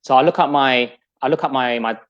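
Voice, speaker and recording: A man's voice: mid-range voice, brisk, fairly bright tone. A man in his thirties hosting a live podcast chat, talking in an earnest, reflective, motivational way. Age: 30s. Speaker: podcast host. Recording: dull lo-fi sound.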